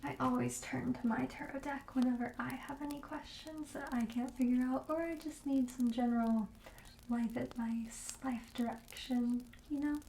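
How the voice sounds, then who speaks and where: A woman playing a friendly, darkly quirky witch shopkeeper in an ASMR roleplay, speaking softly and breathily with gentle, coaxing sales patter that often drops to a whisper. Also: high-pitched, steady tone, moderate pace, gravelly, reverberant, slight background noise, poor audio. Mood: helpful, gentle.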